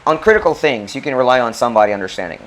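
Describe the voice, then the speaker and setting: A man's voice: medium pitch, expressive, very fast, a bit bright. A man from the Philippines, co-hosting a casual chat and explaining his points step by step. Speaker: co-host.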